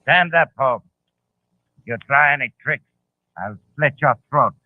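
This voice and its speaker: A man's voice: medium pitch, measured pace, dry recording, close to an everyday voice. An actor playing a sadistic, blunt male henchman eager to kill, in 1940s radio drama. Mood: sinister menace. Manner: menacing, blunt. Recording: old narrow-band radio recording, dry.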